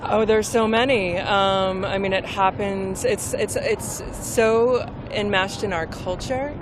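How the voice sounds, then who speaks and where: A woman in her forties from Maine, a restaurant server speaking bluntly and forcefully in a street interview at a protest march. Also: medium pitch, steady tone, slow pace. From Maine, USA. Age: 40s. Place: outdoor city street march. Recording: loud street noise outdoors.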